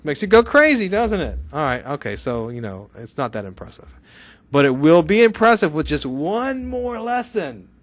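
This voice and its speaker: A man giving a casual software tutorial as an instructor, chatty, with exclamations and frequent rhetorical check-in questions. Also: a little high, big pitch swings, moderate pace, no room sound.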